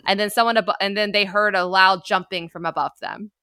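A woman's voice: mid-range voice, fairly even intonation, fairly quick, pushed and bright, no echo, studio quality. A woman hosting a podcast, telling a story in a casual, chatty way with lots of filler words and playful asides. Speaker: podcast host.

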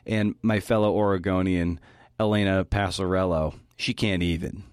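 Podcast host: male with a deep voice, scripted narration delivery, very dry sound. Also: fairly even intonation, normal speed, a little mellow, clear, resonant tone, no echo. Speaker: podcast host giving a talk. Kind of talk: scripted talk narration linking interview clips.

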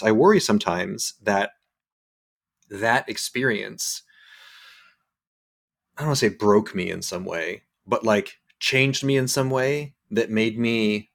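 A man's voice: on the low side, gravelly. A man in his forties hosting a casual talk, thinking aloud and often restarting his words. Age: 40s. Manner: hesitant, repeats words when searching for thoughts. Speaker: podcast host. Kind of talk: reflective casual conversation.

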